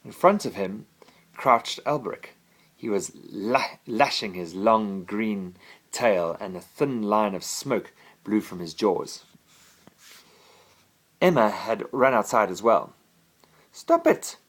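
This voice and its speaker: A man's voice: on the low side, slow pace, pauses often, a bit bright. A man reading a children's bedtime story expressively, as a father to his child.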